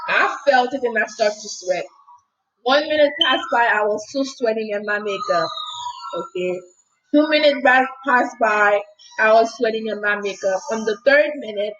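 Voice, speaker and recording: Healer, woman, higher register, soft lilting tone, emphatic motivational storytelling, faint background noise. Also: highs cut off. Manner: earnest and emphatic, often checking in with "okay?". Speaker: spiritual healer and mindset coach. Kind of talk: motivational talk with personal story.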